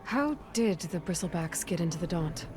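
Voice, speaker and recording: Woman playing composed, low-pitched inquisitive heroine. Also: expressive, normal speed, over music, lightly acted. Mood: probing. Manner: direct, probing, composed. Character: direct, inquisitive adult heroine investigating trouble. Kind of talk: scripted video game dialogue.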